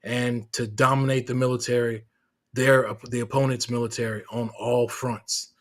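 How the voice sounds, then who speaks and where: A veteran man speaking in a measured way. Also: mid-range voice, flat delivery. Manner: measured, many fillers and restarts. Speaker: guest, Marine veteran. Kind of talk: casual interview.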